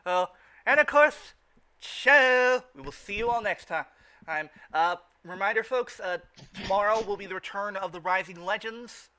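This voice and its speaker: A man hosting a casual podcast, talking off the cuff in a halting way with stammered, repeated words. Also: high voice, sharp tone, clear, resonant tone. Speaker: podcast host. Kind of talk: casual unscripted podcast chat.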